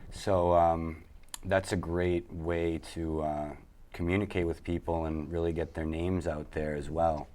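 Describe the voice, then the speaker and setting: A man's voice: low-pitched, little pitch movement, a little slow, warm tone. A man speaks in a friendly, chatty way about websites. Faint noise hums behind him. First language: Italian. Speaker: web design company partner. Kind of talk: casual interview talk.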